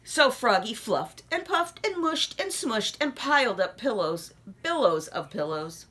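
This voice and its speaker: A woman reading a children's story aloud as an expressive narrator. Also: fairly high pitch, unhurried, breathy edge. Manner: animated, addresses young listeners with questions.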